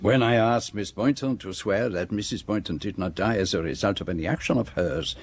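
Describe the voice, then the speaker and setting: An actor playing a self-assured detective in a radio drama, speaking in a deliberate, formal and precise way as he lays out a case. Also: fairly low pitch, a little hiss or hum, natural voice. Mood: accusing, deliberate. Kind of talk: radio drama acting, monologue.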